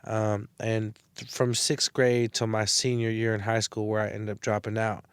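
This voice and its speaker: Bay area dude being interviewed, low flat voice, super chill, cusses here and there, clean smooth sound. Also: male voice, moderate pace, no echo. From San Francisco Bay Area. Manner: laid-back, slangy, swears casually. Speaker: guest, rapper and entrepreneur. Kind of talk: casual interview chat.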